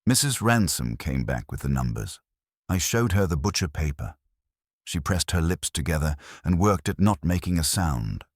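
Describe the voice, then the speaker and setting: Very deep, husky male detective-story narrator. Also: big pitch swings, normal speed, dry recording. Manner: wry, dry wit. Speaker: audiobook narrator. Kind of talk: scripted first-person detective narration.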